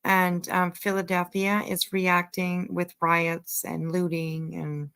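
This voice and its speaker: Slow, bright-voiced woman streaming casually. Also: lowish voice, little pitch movement, clean tone. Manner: hesitant, rambling. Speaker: livestream host. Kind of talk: casual livestream chat and commentary.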